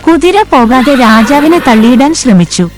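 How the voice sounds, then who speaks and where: A woman's voice: high voice, animated, moderate pace, darkish tone. A woman reading scripted moral stories for children as the narrator. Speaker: story narrator. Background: background music.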